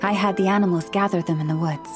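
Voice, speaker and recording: An actress playing a gentle, scholarly young priestess in a video game, speaking in a formal, measured, courteous way. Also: female voice, lowish voice, steady tone, close to an everyday voice. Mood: gracious, courteous. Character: gentle, scholarly young woman, a nature-loving moon priestess. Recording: music behind the voice. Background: background music.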